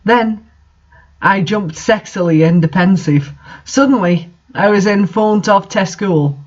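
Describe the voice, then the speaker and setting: Man, high voice, slow delivery, amused comedic reader with side commentary, dull lo-fi sound. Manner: amused, breaking into laughter while reading. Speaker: reader hosting a bad fanfiction reading series. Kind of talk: comedic read-aloud of a story with amused commentary.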